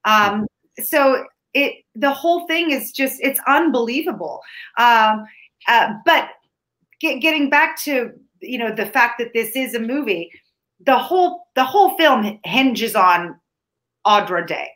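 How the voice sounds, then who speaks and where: A bright, fairly high-voiced woman from Canada whose first language is Ukrainian, talking through a film review on a video call from home. She rambles a little, restarting phrases and piling on fillers as she goes. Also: lively intonation, unhurried. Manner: talkative, many restarts and fillers. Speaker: film critic. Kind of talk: casual film review chat. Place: home room.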